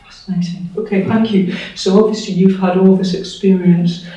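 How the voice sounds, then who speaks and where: Male interviewer, measured questions, high flat voice, very dark tone, dull echoey distant sound. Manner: measured questions, frequent murmured agreement. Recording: dull, echoey, far from the mic.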